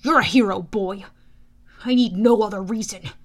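A woman voicing a haughty, bitter, menacing male wine god in an audiobook, with a sneering, deliberate, pompous delivery. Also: medium pitch, natural voice. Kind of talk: audiobook character voice.

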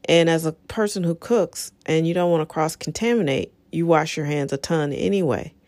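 Low-key scratchy-voiced woman host, dry close sound. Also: normal speed, a little mellow, no echo. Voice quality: a little scratchy. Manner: conversational, encouraging, rambling asides. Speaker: show host. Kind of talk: casual solo talk to listeners.

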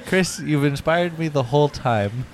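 A man performing in an improvised comedy scene, earnest and fast-talking, sometimes sliding into a smooth salesman's patter. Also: on the high side, slight background noise, low-quality recording.

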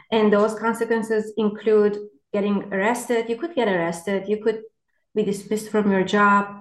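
A woman with a mid-range voice speaks as an interview guest, earnest and articulate. Her voice comes through an echoey remote line that leaves a roomy ring around her words. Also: mid-range voice. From Turkey. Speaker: journalist guest. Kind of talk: interview answers.

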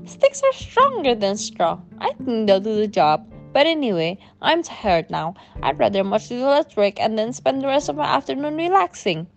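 A little storybook pig with a high voice, played by a woman. He is plucky and defiant, speaking in an emphatic sing-song, with music underneath. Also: huge pitch swings, moderate pace, vocal fry, exaggerated voice. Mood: lazy, self-satisfied. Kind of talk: bedtime storybook character voice.

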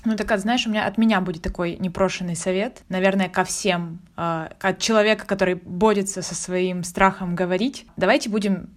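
A woman co-hosting a podcast, adding her own points in a lively, encouraging chat. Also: mid-range voice, fairly quick, hardly any pauses. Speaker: podcast co-host.

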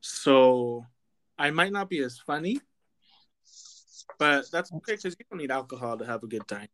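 A man's voice: mid-range voice, animated, normal speed, fairly bright tone. A man in his late twenties co-hosting a casual podcast, easygoing and self-mocking, often laughing. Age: 20s. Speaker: podcast co-host.

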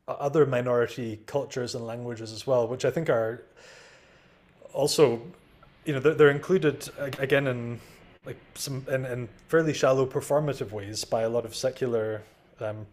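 A man's voice: medium pitch, darkish tone. A man speaking as a podcast guest, thoughtful and hesitant, with many restarts and fillers. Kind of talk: reflective podcast discussion.

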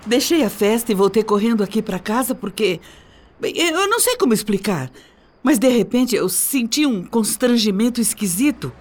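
A woman's voice: a little high, very animated, normal speed. A woman acting in a radio drama as an anxious, confiding wife with a dramatic manner. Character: adult wife feigning alarm, returning home from a party. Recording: long reverb, music behind. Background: music.

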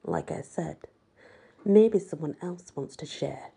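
A woman voicing a guarded, sarcastic teenage girl in an audiobook, with a breathy, husky voice. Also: deep voice, expressive, slow pace, mellow, exaggerated voice.

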